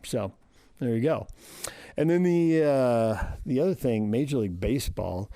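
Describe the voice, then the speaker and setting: A man's voice: mid-range voice, expressive, moderate pace, mellow, no room sound, studio quality. An American man hosting a sports radio show, talking casually and thinking aloud in short phrases.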